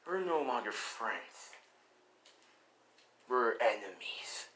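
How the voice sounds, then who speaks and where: A man voicing a hungry, murderous alien symbiote villain in a slow, breathy, whispery and sinister way, as part of a fan story. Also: mid-range voice, very animated, a bit bright, heavily acted. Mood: cold, threatening. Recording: clearly noisy home recording.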